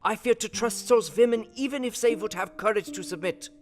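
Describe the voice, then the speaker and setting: An actor playing a stern older professor in an audio drama, grave and formal, with urgent emphasis. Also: male voice, sits high, fairly quick, soft background music, natural voice. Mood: worried, urgent. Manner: formal, emphatic, old-fashioned phrasing.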